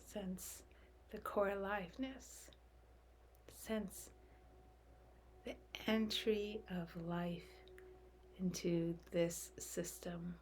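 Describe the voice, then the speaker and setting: A woman leading a guided meditation in a gentle, soft, unhurried way, leaving long calming pauses. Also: medium pitch, slight background noise.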